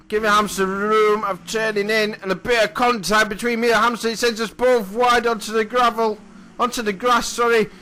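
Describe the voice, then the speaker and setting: A man with a hoarse, croaky voice commentating a replay of his own sim race, chatty and often apologising and correcting himself. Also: high voice, pushed and bright, slight background noise. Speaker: sim racing commentator and driver.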